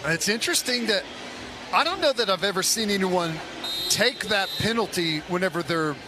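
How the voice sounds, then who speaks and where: A man's voice: sits high, lively intonation, normal speed, background noise. A man giving conversational football analysis as a color commentator in a stadium broadcast booth.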